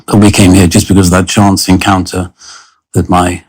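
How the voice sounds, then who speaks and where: A man's voice: low voice, level tone, moderate pace, a little mellow. A man of about sixty with a London accent, reminiscing conversationally as an interview guest in an understated, plain-spoken way. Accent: London English. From London, England; born in Lebanon.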